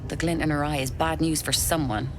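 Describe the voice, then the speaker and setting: A woman's voice: deep voice, steady tone, fairly quick, music in the background, natural voice. A woman playing a shrewd, cheery, mercenary merchant in a fantasy video game. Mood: wry, mercenary.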